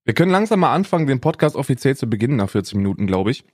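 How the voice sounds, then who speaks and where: A man's voice: mid-range voice, big pitch swings, racing, husky, no echo. Young German man, dry close podcast sound. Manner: slangy, emphatic, repeats phrases. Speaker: podcast co-host. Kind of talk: casual unscripted podcast banter.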